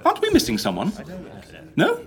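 An actor playing a fussy, genial and flustered host, politely effusive in a comic audio drama. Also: male voice, high voice, huge pitch swings, fairly quick. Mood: flustered, welcoming. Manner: hesitant, fussing, politely effusive.

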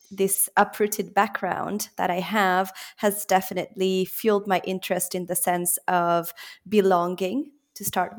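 Low-voiced woman, measured interview guest. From born in Switzerland, raised in Belgium. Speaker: podcast guest. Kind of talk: interview answers.

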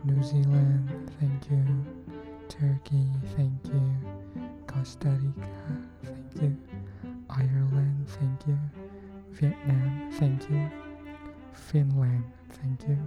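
A woman hosting a podcast, thanking her listeners in a soft, often near-whispered, unhurried voice. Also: rumbling low, monotone. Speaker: podcast host. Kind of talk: thank-you message to listeners. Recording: cello music playing under the voice.

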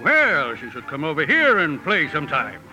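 A man acting a gruff but kindly old gentleman with a courtly manner in a 1950s radio drama. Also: on the high side, huge pitch swings, moderate pace, heavily acted, poor audio. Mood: gruff, inquisitive. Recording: old radio broadcast with music behind.

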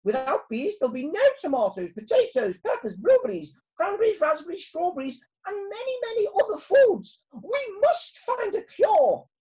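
A woman voicing a commanding female queen bee in a storybook, speaking in a high, stately, bossy way. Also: big pitch swings, a little slow, few pauses, low-quality recording. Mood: urgent.

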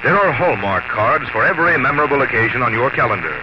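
A man announcing a vintage radio program and reading its sponsor message in a smooth, persuasive way. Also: mid-range voice, expressive, fast talker, heavy background noise. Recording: dull vintage radio broadcast with music.

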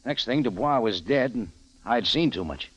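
An actor playing a tough, wry American adventurer with a terse hard-boiled delivery, in 1940s radio drama style, on a dull old recording. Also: male voice, mid-range voice, lively intonation, normal speed, dry recording, natural voice. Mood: terse, uneasy. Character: tough, wry American adventurer narrating his own case.

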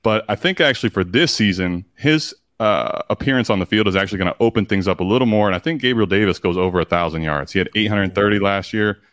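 A deep, low-voiced male guest with a classic radio sound. He talks quickly and confidently in relaxed chat. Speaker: podcast guest. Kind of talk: casual podcast chat.

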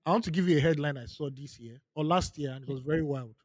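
A young Nigerian man co-hosting a podcast, retelling stories with slow, emphatic stress. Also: a little high, a little mellow, husky, no echo, studio quality. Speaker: podcast co-host. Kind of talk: casual chat.

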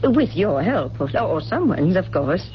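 A woman playing a frail, tender middle-aged mother in 1970s radio drama, speaking in a wistful, halting, genteel way. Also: on the low side, big pitch swings. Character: frail, tender mother recovering from a bad back. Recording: dull, noisy old radio broadcast recording.

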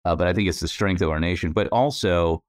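Guy hosting a podcast, lowish voice, rambles a bit, jokes about himself. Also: quick pace, no room sound, clean studio sound. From United States. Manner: chatty, rambling, self-deprecating. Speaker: podcast co-host. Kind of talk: casual interview chat.

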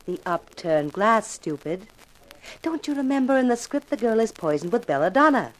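An actress playing a brisk, bossy, sardonic female television producer in a 1950s radio drama. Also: on the high side, sing-song, poor audio. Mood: brisk, explaining.

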